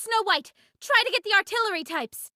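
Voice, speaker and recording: High-voiced, animated young squad leader. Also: female voice, fairly quick, no room sound, studio quality, heavily acted. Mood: urgent, commanding. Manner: direct, commanding. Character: young female android squad leader, caring and decisive. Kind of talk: anime-style game voice acting.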